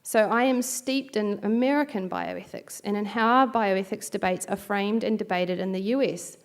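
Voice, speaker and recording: A woman's voice: medium pitch, normal speed. A middle-aged woman from New Zealand with a New Zealand accent, giving a reflective academic keynote with light self-deprecating asides. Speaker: keynote speaker. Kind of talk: academic lecture.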